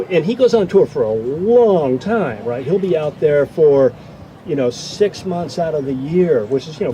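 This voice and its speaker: Male conference speaker, slightly high pitch, informal and conversational, recorded outdoors over noticeable engine rumble. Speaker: academic presenter. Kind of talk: informal conference question-and-answer. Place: outdoor campground at an amphitheater. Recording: noisy outdoor event, engine-like background rumble.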